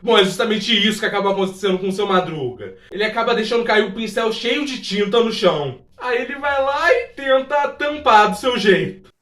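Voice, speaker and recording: A man's voice: sits high, animated, a little fast, hardly any pauses, fairly bright tone. A Brazilian man presenting a video essay in casual scripted narration, chatty and exclamatory, talking straight to viewers. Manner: informal, exclamatory, talks directly to viewers. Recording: roomy echo, distant from the mic.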